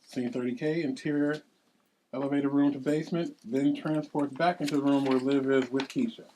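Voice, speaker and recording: A man's voice: medium pitch, fairly even intonation, brisk, mellow, slight background noise, rough recording. A man narrating at a table read, reading scene headings and stage directions aloud.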